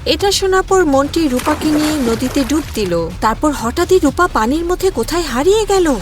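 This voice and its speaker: A woman's voice: sits high, lively intonation, normal speed, music in the background. A woman narrator reading a scripted cartoon fairy tale.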